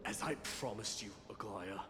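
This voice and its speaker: An actor playing a stern, proud male warrior in a video game, speaking formally and deliberately. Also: fairly low pitch, pushed and bright, long reverb tail, slight background noise. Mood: grave, resolute. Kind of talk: dramatic video game voice acting.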